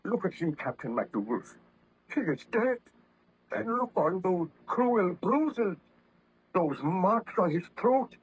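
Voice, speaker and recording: Haunted scientist baron, highish husky voice, melodramatic, formal, guilt-ridden, old radio horror drama. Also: male voice, very animated, takes it slowly, exaggerated voice. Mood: anguished, urgent. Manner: melodramatic, formal, fervent declamation. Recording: vintage radio drama recording, slightly muffled.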